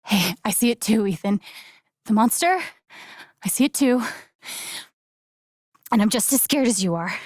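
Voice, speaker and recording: A young woman as a caring, slightly unsure video game heroine. Her voice sits fairly high and is recorded close and dry. She speaks in a casual, natural, conversational way with some hesitancy, sounding earnest throughout. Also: lively intonation, unhurried, long pauses, no room sound. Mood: gentle, reassuring. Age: young adult.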